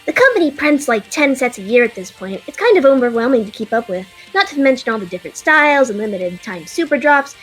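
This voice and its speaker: Brief: high-pitched nerdy baby dragon, eager rambler, woman voicing it. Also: very animated, fast talker, few pauses, a bit bright, soft background music. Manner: eager, rambling fan talk. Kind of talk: fan audio drama acting.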